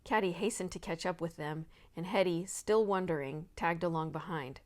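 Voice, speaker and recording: Woman reading a children's novel, lowish voice. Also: normal speed. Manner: expressive, acts out the dialogue. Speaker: storybook reader. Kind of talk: read-aloud narration of a children's novel.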